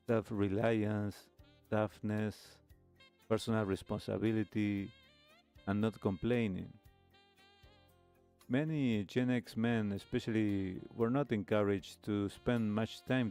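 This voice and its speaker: A man hosting a talk show, giving reflective commentary in measured, halting phrases with pauses between short clauses. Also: fairly low pitch, mellow. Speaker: host of a live retro music talk show. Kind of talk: reflective commentary, reacting to a video. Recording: music playing behind the voice. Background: music with guitar.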